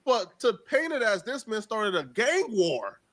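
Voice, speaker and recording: A man hosting a reaction show, giving casual, animated commentary in slangy street talk. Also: high-pitched, unhurried, dry recording. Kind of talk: casual commentary on court news.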